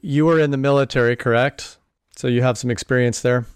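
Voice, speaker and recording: A male host leads a podcast interview, asking his guest questions with engaged interest. His voice is smooth and clear at an ordinary pitch. It is recorded close, with a dry sound. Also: steady tone, fairly quick, no echo.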